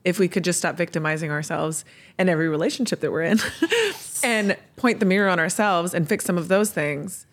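A woman with a lowish voice hosting a casual podcast interview. She is enthusiastic and chatty and laughs often as she sets up her questions. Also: brisk, hardly any pauses. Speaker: podcast host.

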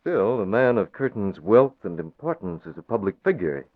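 An actor playing a calm, measured newspaper publisher who presses with pointed questions, in 1940s radio acting style. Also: male voice, fairly low pitch. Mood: probing. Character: cool, probing male newspaper publisher. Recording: tinny phone-like old radio recording.

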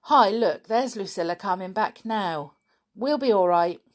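A woman's voice: sits low, lively intonation, normal speed. A woman voicing a plucky, carefree circus boy with breezy, informal speech in a children's adventure story.

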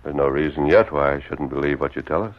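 Masked cowboy hero, really deep calm commanding voice, old muffled radio show. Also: male voice. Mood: calm, attentive. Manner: measured, courteous, authoritative. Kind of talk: 1940s radio western acting. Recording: muffled old radio recording, faint noise.

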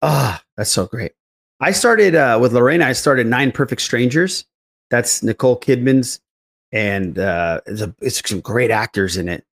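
A man's voice: medium pitch, lively intonation, unhurried, vocal fry. An enthusiastic man hosting a casual podcast chat, repeating himself for emphasis. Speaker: podcast host.

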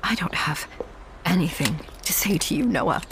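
A woman's voice: on the low side, animated, normal speed, vocal fry, noisy background. An actress playing a hurt, disbelieving young girlfriend in an audio drama, emotional and wounded. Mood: cold, hurt. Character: hurt, disbelieving young woman betrayed by her boyfriend.

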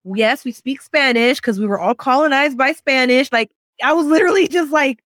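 A woman's voice: high voice, moderate pace, a bit bright, dry recording, studio quality. A woman from San Francisco, a podcast guest, earnestly telling her own story with lots of casual fillers. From San Francisco, United States. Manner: earnest storytelling, many "you know" and "like" fillers. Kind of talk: casual interview chat.

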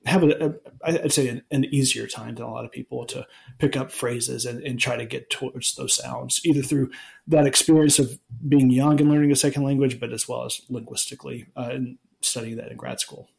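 A man in his forties from Alabama, the usual host, answering interview questions in a relaxed, rambling way with many restarts. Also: medium pitch, brisk, vocal fry. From Alabama, United States. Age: 40s. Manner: rambling, many ums and restarts, laughs easily. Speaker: podcast host being interviewed. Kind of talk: casual interview chat.

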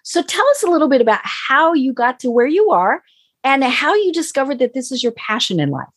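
A woman hosts a business show with bubbly, gushing energy. Her voice sits fairly high and swoops widely, with a husky, breathy edge. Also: very animated, fairly quick. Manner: bubbly, gushing, frequent fillers. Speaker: host. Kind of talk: enthusiastic interview hosting.

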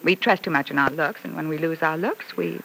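A woman's voice: deep voice, a little fast, gravelly, a bit bright, slightly rough, exaggerated voice. A woman playing a gushing, sweetly catty mother in an old radio soap, effusive and theatrical with a cooing sweetness. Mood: concerned, catty. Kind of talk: old-time radio soap opera acting. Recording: noisy vintage radio recording.

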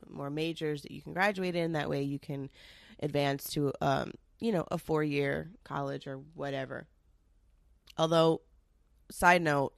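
A young woman with a lowish voice talks straight to her listeners in a loose, rambling podcast chat, wandering into asides and dropping the odd swear word. She sounds slightly nasal and stuffed up from a cold. The sound is close and dry. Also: no room sound. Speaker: podcast host. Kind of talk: casual solo podcast chat.

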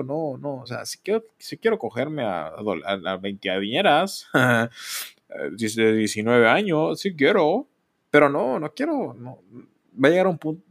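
A man in his thirties with a Mexican accent, hosting a solo podcast and speaking candidly in a rambling way. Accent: Mexican Spanish. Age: 30s. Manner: candid, rambling, mocks others in exaggerated high voices. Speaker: podcast host.

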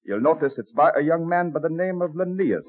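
A tinny, dry old radio recording carries an affable bookseller of colonial days. His voice is husky and moves up and down freely as he talks in a measured, bookish way. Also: on the high side, lively intonation, no room sound. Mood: helpful, measured. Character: friendly colonial Philadelphia bookseller, man, measured and helpful. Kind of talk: old-time radio drama acting.

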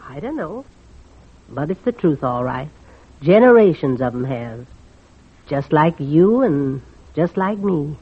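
An actress playing a stoic, plain-spoken older widow with a husky voice in an old radio drama. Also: female voice, deep voice, sing-song, unhurried, pauses often, exaggerated voice. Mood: resigned. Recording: muffled old radio recording.

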